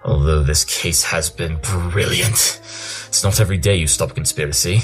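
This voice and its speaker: An actor playing an aloof, tactless pony detective with a clipped, analytical manner in an audio drama. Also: male voice, low voice, normal speed, faint music behind, lightly acted. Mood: brisk, deductive.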